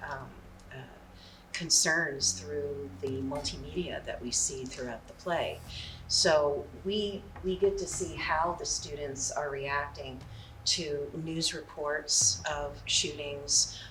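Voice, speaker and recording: Slow-speaking woman, slightly distant from the mic. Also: low-pitched, steady tone, fairly bright tone. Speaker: theatre director. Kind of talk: halting, explanatory interview answer. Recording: distant mic, faint background noise.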